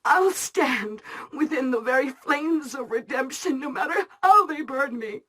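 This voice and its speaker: A woman playing an anguished, penitent mother in a video game, praying in a breathy, pleading half-whisper. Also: high-pitched, lively intonation, unhurried, fairly bright tone. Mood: hushed, penitent. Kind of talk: dramatic video game monologue.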